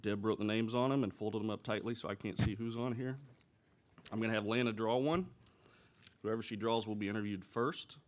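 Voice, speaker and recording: A man's voice: lowish voice, fairly even intonation, a little fast. A man chairing a school board meeting, speaking in a plain, procedural way.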